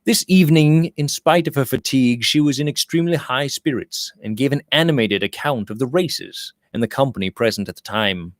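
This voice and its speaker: A man's voice: medium pitch, brisk, few pauses, dry recording, clean studio sound. A man narrating a Victorian novel as an audiobook reader, in a theatrical storytelling manner.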